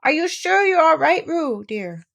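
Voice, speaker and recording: A woman's voice: high-pitched, very animated, measured pace, creaky, a bit bright, voice pushed up, heavily acted. A woman voicing an anxious mother kangaroo calling out to her child.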